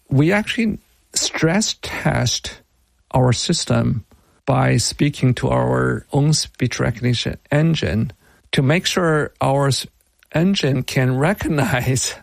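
A middle-aged man from China with a Chinese accent, a non-native English speaker explaining his work as a tech founder in an interview, with halting, deliberate phrasing. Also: medium pitch, vocal fry, no room sound. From Beijing, China.

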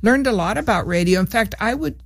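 A woman's voice: mid-range voice, animated, a little fast, vocal fry, dry recording. An older woman from Peru, a radio show guest, chatting casually and laughing as she reminisces, often restarting her sentences.

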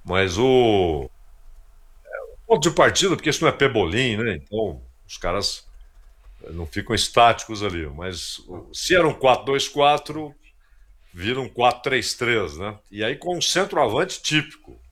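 Very animated Brazilian male sports commentator. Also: on the low side, moderate pace, breathy edge. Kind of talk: casual anecdotal commentary.

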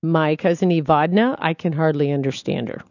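A co-host on a radio show, chatty and quick to react with short remarks. Recorded close with no room sound. The sound is a little dull. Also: mid-range voice, creaky, darkish tone, no echo. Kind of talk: casual radio banter.

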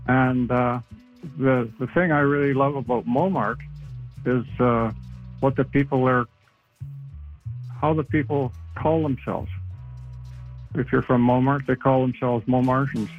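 A man's voice: medium pitch, fairly even intonation, measured pace, long pauses, narrow, tinny audio, soft background music. A man leaving a casual voicemail for a radio show. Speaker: voicemail caller. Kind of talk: voicemail message. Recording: voicemail phone recording.

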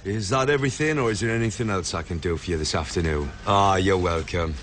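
A man's voice: sits low, quick pace, fairly bright tone, a little hiss or hum. A man playing a call centre worker in a comedy sketch, speaking with a Geordie accent and polite phone-service patter. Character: chirpy male call centre agent, cheeky.

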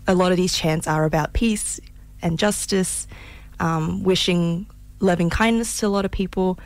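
A woman from Malaysia co-hosting a radio show, speaking softly and reflectively with many pauses and fillers. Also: on the low side, vocal fry. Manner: soft-spoken, hesitant with many fillers. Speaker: radio co-host. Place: radio studio.

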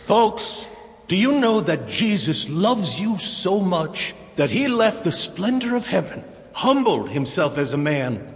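A revival preacher in a radio drama, high-pitched and fervent. He declaims and pleads solemnly, his words ringing through a long hall reverb. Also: big pitch swings, unhurried, soft background music, exaggerated voice. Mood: proclaiming. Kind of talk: evangelistic sermon.

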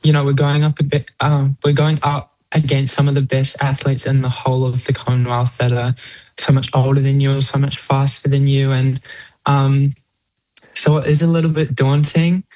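A late-teen competitive swimmer from New Zealand with a New Zealand accent gives casual interview answers. The pitch stays fairly even and the sound is dry, with little room. The phrasing is thoughtful, dotted with "you know" and "like". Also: moderate pace, dry recording, rough recording. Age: late teens, young adult.

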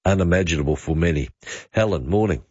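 A man's voice: sits low, fast, darkish tone, breathy edge, dry recording, muffled recording. A man hosting talk radio, chiming in with short encouraging remarks to a caller. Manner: brief encouraging interjections. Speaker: radio host.

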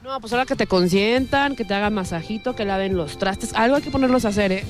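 A woman's voice: mid-range voice, fast, soft background music. A woman with a Mexican accent hosting casual on-location interviews with fans in a playful, teasing, chatty way. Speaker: radio promotion host interviewing football fans.

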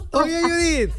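Man, radio host, teasing, jokey, high voice, music bed behind. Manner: teasing, joking, laughs often. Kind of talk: comic morning radio banter.

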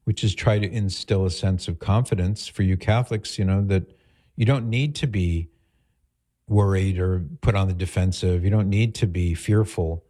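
Deep-voiced guy hosting a call-in show, measured, restates what callers mean. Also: fairly even intonation, warm tone. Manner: measured, explains and rephrases for the caller. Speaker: radio host. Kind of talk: call-in radio talk.

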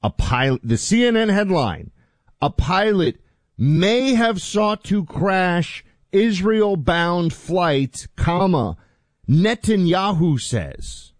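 A man hosting a political talk radio show, calm at first, then heated and indignant, his voice climbing high. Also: slow, dry recording.

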